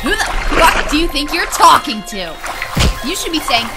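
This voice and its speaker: A woman voicing a cruel, foul-mouthed schoolgirl bully in a manga dub, sneering and domineering, with loud music behind. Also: sits high, sing-song, moderate pace. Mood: menacing. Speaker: voice actor. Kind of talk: fan manga dub acting.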